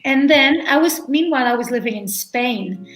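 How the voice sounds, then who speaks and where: A woman's voice: high voice, lively intonation, normal speed. A middle-aged woman from Belgium, a guest in an interview who often repeats words and restarts. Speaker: guest, veterinarian and animal chiropractor. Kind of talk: casual interview talk. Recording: echoey room, faint music.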